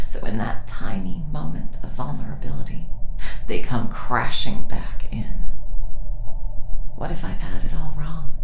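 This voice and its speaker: Woman voicing a weary immortal enemy; very low, slow, whispered delivery. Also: roomy sound, background noise, full character voice. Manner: soft, slow, often whispered. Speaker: voice actor. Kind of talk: ASMR roleplay.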